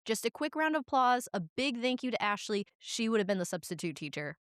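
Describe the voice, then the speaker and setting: A woman's voice: on the high side, very animated, a little fast, no echo. Woman in her twenties, podcast host, under the weather, voice on the edge of cracking, rambling and self-deprecating, dry close mic. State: sick, voice cracking. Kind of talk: solo podcast monologue.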